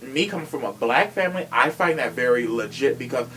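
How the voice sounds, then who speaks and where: A man's voice: mid-range voice, animated, brisk, sharp tone, slight background noise. A man chatting casually on a true crime podcast in a sassy, blunt, slangy way. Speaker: podcast host.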